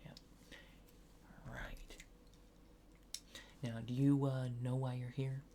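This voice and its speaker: Slow, creaky, soft-spoken ASMR man. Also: mid-range voice, flat delivery, background noise. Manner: hushed, often near-whispered, hesitant, polite clinical questioning. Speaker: ASMR roleplay performer. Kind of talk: soft-spoken ASMR roleplay as an alien abductor examining a subject.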